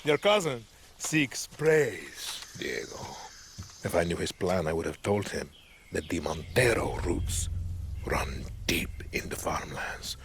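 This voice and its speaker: An actor playing a menacing, controlled middle-aged dictator and stern father with a Latin American Spanish accent, deliberate and commanding in a video game drama. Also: low-pitched, sing-song, a little hiss or hum, full character voice. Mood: cold, calculating. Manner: deliberate, commanding, drops into hushed intensity.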